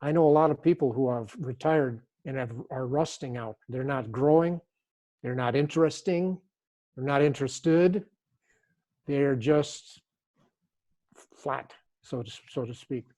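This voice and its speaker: An older man speaks as an author being interviewed, thinking aloud and circling back over his own phrases. His voice sits at an ordinary middle pitch, and his delivery stays reflective. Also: measured pace, dark tone. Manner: thoughtful, repeats and restarts phrases. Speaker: author and guest.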